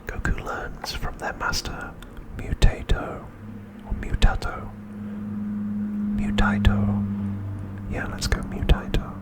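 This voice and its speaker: A man hosts an ASMR recap entirely in a whisper. His delivery is breathy and unvoiced, set out in deliberate phrases with short pauses between them. It has the feel of quietly read narration. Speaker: ASMR host.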